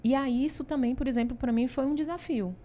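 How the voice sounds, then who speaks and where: A woman from Ceará, Brazil, speaking with a Northeastern Brazilian accent as an interview guest, telling stories in an animated way, laughing often and asking "né?" a lot. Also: high-pitched, a little fast. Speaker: interview guest, consultancy founder. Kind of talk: casual interview talk.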